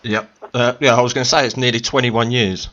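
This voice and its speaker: A man hosts a radio interview in an easygoing, informal way, often tripping over his questions and filling the gaps. His voice sits at a middle pitch. The sound is slightly dull and lo-fi. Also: steady tone, a little fast, fairly bright tone. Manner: informal, stumbling questions with fillers. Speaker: radio show host.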